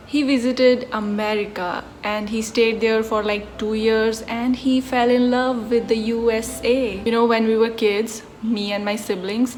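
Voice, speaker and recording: A young woman with a slightly high voice, telling a story straight to the camera. She speaks English with an Indian accent that has a Hollywood flavor mixed in. She sounds chatty and relaxed. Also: a little hiss or hum. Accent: Indian English mixed with an acquired Hollywood-style accent. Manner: chatty, talks straight to the viewer. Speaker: vlogger. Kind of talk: casual storytime vlog.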